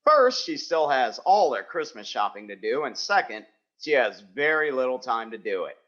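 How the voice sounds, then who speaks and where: A man's voice: medium pitch, very animated, moderate pace, fairly bright tone. A man playing an old-time radio sitcom announcer, giving scripted narration theatrically, in a slightly dull recording.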